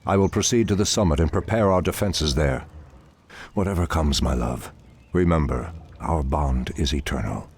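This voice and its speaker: An actor playing an ancient, solemn male night elf druid leader in a grave, deliberate game cinematic style. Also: rumbling low, darkish tone, breathy edge, full character voice. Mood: tender, resolute. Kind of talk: dramatic game cinematic acting.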